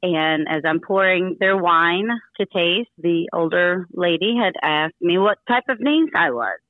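A woman's voice: lowish voice, lively intonation, measured pace, no room sound. A woman in her fifties, from the Philippines and native in Tagalog, telling personal stories as an interview guest in an earnest, reflective way. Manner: earnest, reflective, laughs often. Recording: tinny phone line, dry.

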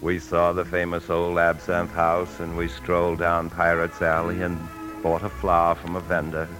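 Very deep male voice, acted anxious husband on the run, guarded, placating, 1950s radio drama, confessional narration, faint music. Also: monotone, moderate pace, lightly acted, rough recording. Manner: smooth-talking, placating, guarded.

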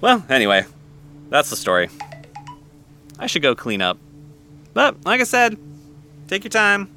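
An actor playing a chatty, easygoing cafe owner in an audio drama, talking casually. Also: male voice, high voice, huge pitch swings, a little slow, sharp tone, faint music behind, exaggerated voice. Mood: friendly, casual. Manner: casual, conversational. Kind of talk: naturalistic audio drama acting.

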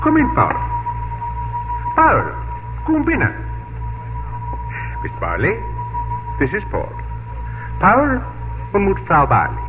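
Kindly doctor, very expressive pitch. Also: male voice, full character voice. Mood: coaxing. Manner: measured, kindly, formal phrasing. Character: gentle, philosophical European doctor arranging adoptions. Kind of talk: 1950s radio drama acting. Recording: tinny old radio broadcast, background music.

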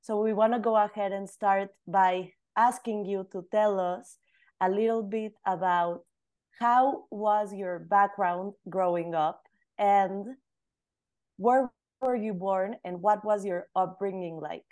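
A woman's voice: mid-range voice, steady tone, slow, a little mellow, muffled recording. Friendly woman co-host asking questions. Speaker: podcast co-host. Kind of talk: interview questions.

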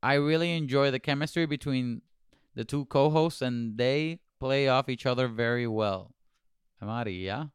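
Very clear-voiced podcast host. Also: male voice, mid-range voice, slow pace, no echo, studio quality. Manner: playful, exclamatory, laughs often. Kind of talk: casual podcast chat mixing Spanish and English.

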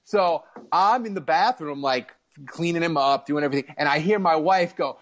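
A man's voice: a little high, moderate pace. A man in his thirties or forties telling casual stories as a sports co-host, animatedly acting out the dialogue. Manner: animated storyteller, acts out quoted dialogue.

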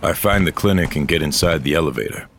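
An actor playing a weary, stubborn old cyborg courier in a science-fiction audio drama, gruff, terse and dry. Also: male voice, a bass voice, expressive, quick pace, background noise, exaggerated voice. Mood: dry, reflective. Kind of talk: audio drama acting and first-person narration.

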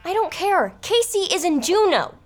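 A woman's voice: extremely high pitch, very animated, moderate pace, soft background music. A woman playing a bossy, melodramatic schoolgirl with a demanding, haughty manner in an audio drama. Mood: indignant, frantic.

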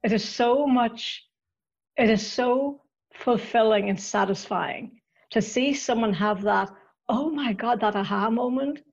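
A woman from Northern Ireland with a softened Northern Irish accent, chatting as a podcast guest and often repeating words as she finds her thoughts. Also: a little high, a little slow, muffled recording. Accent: Northern Irish, softened by years in the US. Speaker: podcast guest, marketing coach. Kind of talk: casual interview chat.

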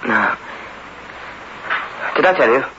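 Anguished young convict, bewildered, faltering, medium pitch, old dull-sounding 1960s radio drama. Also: male voice, expressive, bright, forceful tone. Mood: bewildered, urgent. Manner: halting, anguished. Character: desperate, bewildered young escaped convict, protesting innocence. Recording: muffled, noisy old radio recording.

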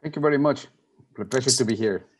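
A man from Mexico, a company executive answering interview questions in a halting way, with frequent restarts and fillers. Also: mid-range voice, slightly rough.

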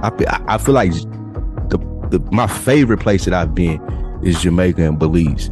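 A man co-hosting a podcast, talking candidly and with profanity in a relaxed chat, often acting out what other people say. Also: low voice, moderate pace, over music. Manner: candid, animated, profane, acts out quoted voices. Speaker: podcast co-host. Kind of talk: casual conversation.

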